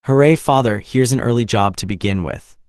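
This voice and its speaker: A man's voice: mid-range voice, fairly quick, a little mellow, no room sound, studio quality, close to an everyday voice. A man voicing a cheeky young working-class boy in an audiobook. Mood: eager. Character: cheeky, muttering young boy, odd-job man's son.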